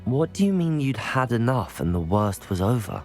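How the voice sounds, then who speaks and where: An actor playing a calm, supportive young male student in video game dialogue, speaking in an encouraging way. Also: mid-range voice, normal speed, faint music behind. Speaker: game player character.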